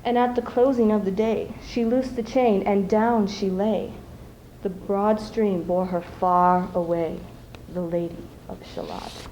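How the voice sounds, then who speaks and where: Teen girl doing a dramatic poem, slow and kinda mellow, bit of background noise. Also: mid-range voice, steady tone, highs cut off. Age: teens. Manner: theatrical, measured, with dramatic dynamics. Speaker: high school speech team student. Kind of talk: dramatic poetry recitation. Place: live school showcase before an audience. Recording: faint noise, live event ambience.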